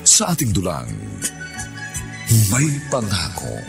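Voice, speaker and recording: A male announcer introduces a radio drama with dramatic, scripted delivery. His deep voice moves slowly with very wide swoops in pitch, over clear music. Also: huge pitch swings.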